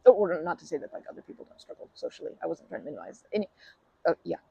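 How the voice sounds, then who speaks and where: A woman playing a timid, kind young woman in an ASMR roleplay, stammering and rambling self-consciously in a soft, breathy voice. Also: on the low side, animated, rapid-fire, dry recording, lo-fi sound, exaggerated voice. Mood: embarrassed, apologetic. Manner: stammering, self-deprecating, rambling with nervous giggles. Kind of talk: ASMR comfort roleplay, conversational acting.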